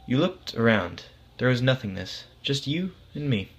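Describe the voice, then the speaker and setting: A man's voice: mid-range voice, very animated, moderate pace, muffled recording. Man narrating a short story aloud. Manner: acts out both sides of dialogue. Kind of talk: story narration with acted dialogue.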